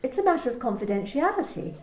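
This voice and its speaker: A woman playing a coolly clinical office manager in an amateur radio play, asking personal questions in a calm, matter-of-fact, bureaucratic way. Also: high voice, fast, roomy sound. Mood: businesslike.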